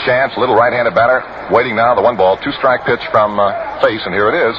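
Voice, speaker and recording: An American man calling a baseball game live on 1960s radio in a measured, descriptive play-by-play style. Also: mid-range voice, fairly even intonation, highs cut off. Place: outdoor ballpark broadcast. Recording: noisy, band-limited vintage 1960 radio broadcast.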